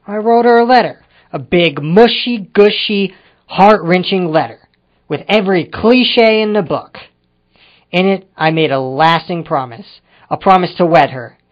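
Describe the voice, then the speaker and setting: A man playing an earnest, halting young ex-convict in love, in a melodramatic radio play. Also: high voice. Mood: earnest, sentimental. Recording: dull lo-fi audio.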